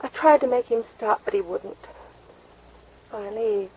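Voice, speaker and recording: An actress playing a vulnerable, pleading woman in a classic radio melodrama, with halting, emotional delivery. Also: on the high side, slight background noise, close to an everyday voice. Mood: sorrowful. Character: anguished young woman in trouble, pleading and vulnerable. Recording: muffled old radio recording.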